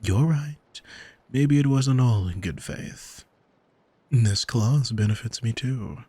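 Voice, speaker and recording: A man playing an arrogant demon in an ASMR audio drama, smug and teasing, speaking deliberately and intimately to the listener with a husky voice, close on the microphone. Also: mid-range voice, dark tone, dry recording. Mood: smug, controlling. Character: arrogant male demon who owns a soul, smug, taunting. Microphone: close studio microphone, dry.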